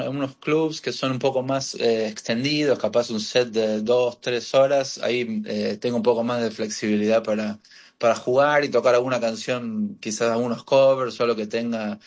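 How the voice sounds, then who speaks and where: Male, late 30s, Buenos Aires accent. Musician guest in a casual interview, halting, repeats and trails off as he talks. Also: mid-range voice. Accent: Argentine (Buenos Aires). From Buenos Aires, Argentina. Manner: hesitant, rambling, trailing repetitions. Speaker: musician guest, guitarist and singer-songwriter.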